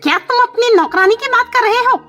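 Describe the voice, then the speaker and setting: Woman voicing a shrill, blunt male crow. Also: fairly quick, fairly bright tone, faint music behind. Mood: surprised, questioning. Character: frank male crow, the parrot's friend. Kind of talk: Hindi children's cartoon story dialogue.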